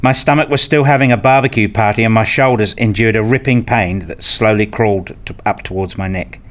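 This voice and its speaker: A man's voice: fairly low pitch, a little fast. A man narrating a scripted radio play in the first person.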